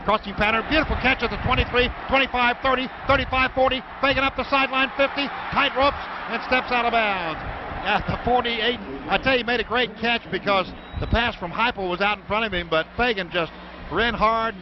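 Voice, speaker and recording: An American man calling football play-by-play on the radio in clipped running descriptions that get excited on big plays. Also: very high-pitched, fairly quick. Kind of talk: live American football commentary. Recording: dull, noisy radio broadcast from stadium.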